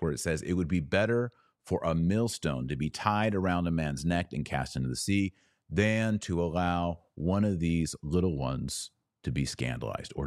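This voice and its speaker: A man's voice: sits low, no echo, studio quality. A middle-aged man hosting a podcast, giving an earnest, casual review of a film he recommends, with small restarts. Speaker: podcast host. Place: in Texas.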